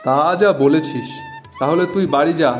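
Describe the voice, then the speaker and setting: A man performs a hearty village worker in a moral-story cartoon. His voice sits a little high. He talks familiarly and hospitably, like an older friend, over soft music. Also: normal speed, close to an everyday voice. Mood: cheerful.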